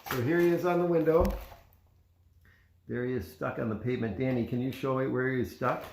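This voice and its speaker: A man reads a children's storybook aloud in a roomy, echoing space. His pitch sits in the middle range, and he speaks conversationally, moving between the tale and casual asides to a child about the pictures. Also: fairly even intonation, a little fast, creaky, darkish tone, a little hiss or hum. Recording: echoey room.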